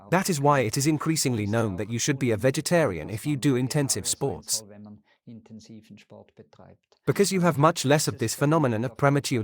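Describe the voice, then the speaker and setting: Male interview guest, doctor, mid-pitched voice, firm and opinionated explanatory delivery. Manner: assertive, opinionated.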